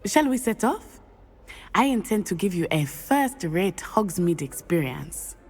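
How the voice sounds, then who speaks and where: A woman's voice: fairly low pitch, sing-song, a little slow, darkish tone, slightly rough, heavily acted. A woman playing a confident, eager teenage schoolgirl witch in a video game, friendly and politely formal.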